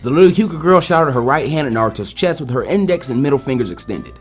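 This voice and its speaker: A man narrates a written story in an expressive style. He moves along at a brisk pace with a lot of rise and fall in his mid-range voice. Light music plays behind him. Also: mid-range voice. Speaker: fan fiction narrator.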